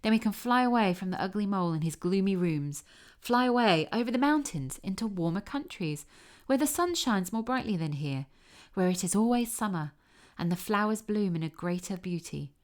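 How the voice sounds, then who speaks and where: A woman's voice: mid-range voice, lively intonation, moderate pace, natural voice. A woman voicing a friendly, grateful male swallow in a storybook, earnest and coaxing. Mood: eager, coaxing.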